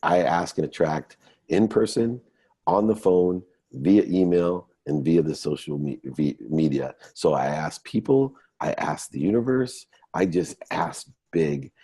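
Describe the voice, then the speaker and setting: A man's voice: deep voice, measured pace, mellow, slightly rough, no room sound. A man of fifty giving earnest, emphatic motivational answers as an interview guest. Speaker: guest, motivational entrepreneur and speaker.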